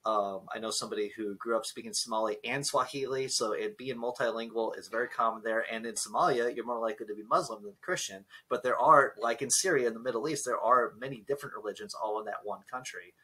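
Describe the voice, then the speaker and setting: A man's voice: mid-range voice, fast, hardly any pauses, sharp tone, slightly rough, low-quality recording. A young man from Minnesota, co-hosting a casual podcast and talking in a blunt, profane, lecturing way. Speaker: podcast co-host. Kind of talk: casual opinionated chat.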